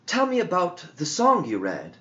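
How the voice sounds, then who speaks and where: A wise woman teacher in a storybook, played by a man. She is direct, patient and challenging, with an animated, swooping delivery. The recording is a little dull. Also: high-pitched, normal speed, voice pushed up, heavily acted. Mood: probing. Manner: instructive, probing, direct. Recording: dull lo-fi audio.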